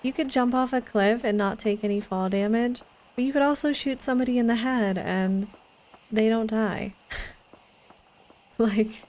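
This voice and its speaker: A woman's voice: a little high, moderate pace, pauses often, a little mellow, poor audio. A young woman streaming a game, chatting and giggling as she rambles about what she sees. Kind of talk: casual gameplay commentary.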